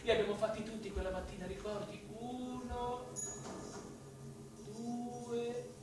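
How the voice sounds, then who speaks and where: An actor playing a wily investigating magistrate who needles with feigned innocence in a theatrical radio drama. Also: male voice, very high voice, steady tone, unhurried. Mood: needling. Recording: very echoey, distant, noisy radio recording.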